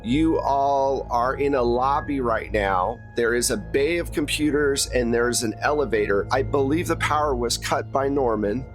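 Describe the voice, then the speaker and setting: A man's voice: medium pitch, normal speed, few pauses. Informal game master, a man with music behind. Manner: informal, explanatory. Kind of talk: recapping and narrating a tabletop game.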